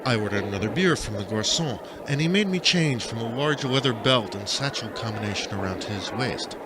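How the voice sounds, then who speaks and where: A man reading first-person fiction aloud as an audiobook narrator, with an expressive, dramatic delivery. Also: medium pitch, fairly quick, background noise.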